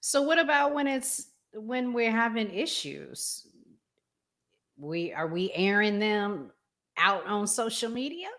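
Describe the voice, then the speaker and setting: Slow-talking woman in casual conversation. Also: medium pitch, very animated, fairly bright tone. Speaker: podcast host. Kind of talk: casual conversational relationship talk.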